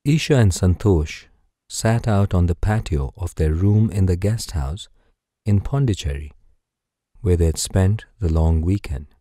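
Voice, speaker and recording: Deep, very mellow man telling bedtime stories. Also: no room sound, clean studio sound. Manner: soothing, unhurried, gentle storytelling. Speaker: sleep meditation narrator. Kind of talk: guided bedtime story narration.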